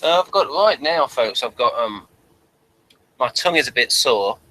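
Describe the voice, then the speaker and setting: A man hosting an informal review show, speaking in a halting, digressive way and often correcting himself. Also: mid-range voice, animated, pushed and bright, low-quality recording. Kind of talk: informal review chat, reading out a summary.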